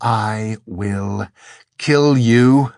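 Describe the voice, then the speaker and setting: A man's voice: mid-range voice, lively intonation, slow pace, no echo, clean studio sound. A man voicing a cruel, vain fallen angel with a grandiose, theatrical manner for an audiobook. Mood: cold hatred. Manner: pompous, grandiose, shouting and hissing.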